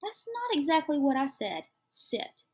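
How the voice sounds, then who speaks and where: A woman doing a gentle, coaxing foster mother. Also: high voice, big pitch swings, a little slow, voice pushed up. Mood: stern. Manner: gentle, coaxing, sharpens when upset. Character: caring but strained foster mother, woman. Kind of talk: audiobook character voice.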